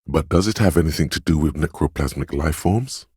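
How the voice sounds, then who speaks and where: A man voicing a brooding, superstitious inner voice of intuition in a video game, speaking in a hushed, portentous, theatrical way. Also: brisk, dry recording, studio quality, full character voice. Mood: dark, wary. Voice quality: very deep, gravelly, husky. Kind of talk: dramatic video game narration.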